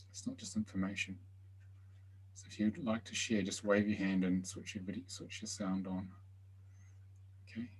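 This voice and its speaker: Husky low-voiced man hosting a webinar. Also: poor audio. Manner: soft-spoken, invites sharing. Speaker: healing webinar host. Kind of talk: live webinar talk. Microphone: video call.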